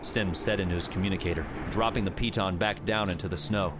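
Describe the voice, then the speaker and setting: A man's voice: low voice, steady tone, fast talker, background noise. A man reading a scripted adventure audiobook as a dramatic narrator.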